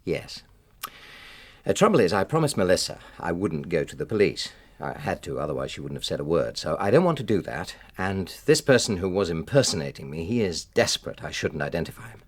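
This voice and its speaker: A forceful British husband and father in a radio drama, middle-aged and exasperated. His voice is lowish and swings widely as he insists, bluntly, on being heard. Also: very animated, fairly quick, dry recording. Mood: grudging, firm. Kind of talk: naturalistic radio drama acting.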